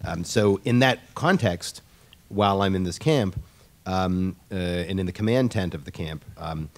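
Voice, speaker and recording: A man on a panel answering a question, speaking in a halting, explanatory way with many restarts. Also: deep voice.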